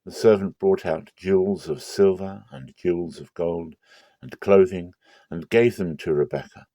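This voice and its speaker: A man giving a dramatic scripture reading as narrator, with measured, solemn storytelling. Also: low voice, fairly even intonation, dark tone, dry recording. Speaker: Bible reader.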